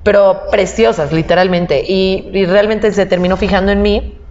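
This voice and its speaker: A woman's voice: medium pitch, fairly even intonation, normal speed, darkish tone, lo-fi sound, slight background noise. Young Mexican woman, slangy and candid. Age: young adult. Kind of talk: casual podcast chat. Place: indoor podcast set at a table. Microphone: lapel mic.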